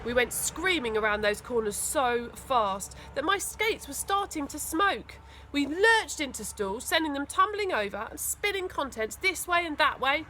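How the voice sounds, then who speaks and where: A woman reading a children's story aloud with expressive, dramatic emphasis. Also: high voice, normal speed, a little hiss or hum. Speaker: school teaching assistant reading aloud.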